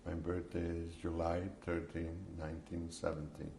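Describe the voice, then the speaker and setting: A man in his seventies from Poland, giving slow, measured answers in an oral history interview. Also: low-pitched, monotone, mellow, slight background noise. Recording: echoey room.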